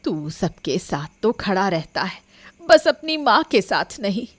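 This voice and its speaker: A bitter old hunchbacked mother from a cartoon, acted by a woman. She has a medium-pitched voice and speaks in resentful, reproachful complaint. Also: sing-song, measured pace. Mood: bitter, complaining. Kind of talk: Hindi cartoon dialogue acting.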